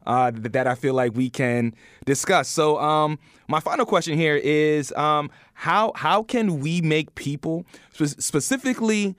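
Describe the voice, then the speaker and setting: Clear, higher-voiced man hosting an interview. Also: a little slow, a bit bright, no echo, clean studio sound. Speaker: podcast interview host. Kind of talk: casual conversational interviewing.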